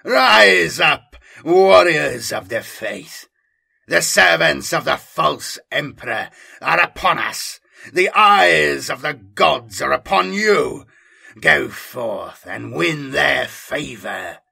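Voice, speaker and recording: A man voicing a fanatical armoured warlord of dark gods in an audiobook, thundering and declaiming in a piercing voice. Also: on the high side, sing-song, slow pace, gravelly, husky, full character voice. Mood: fervent, rousing.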